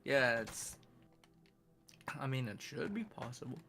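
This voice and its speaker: A man's voice: medium pitch, very animated, unhurried, gravelly, sharp tone. A man with a Californian English accent chatting casually while co-streaming a game, rambling and cursing freely. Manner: rambling, profane, loose. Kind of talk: casual gaming chat.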